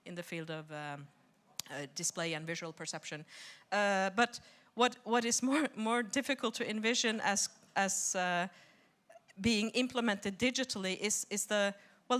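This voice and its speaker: Halting woman researcher on a panel. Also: lowish voice, a bit bright, clear, resonant tone. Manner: halting, repeats words while reasoning aloud. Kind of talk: spontaneous expert panel discussion.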